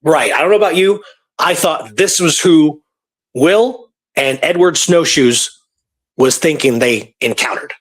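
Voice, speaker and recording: A man hosting a radio show, telling a historical case in a casual, animated, conversational way. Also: on the high side, measured pace, husky.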